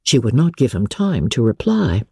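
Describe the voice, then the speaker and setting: Husky, expressive woman narrator. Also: a bass voice, moderate pace, dry recording, clean studio sound. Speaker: audiobook narrator. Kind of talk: dramatic scripted narration of classic literature. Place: dry studio.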